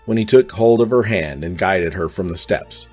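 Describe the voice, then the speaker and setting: A man's voice: low voice, moderate pace, a little mellow, husky, soft background music. A man reading scripted narration for a radio drama.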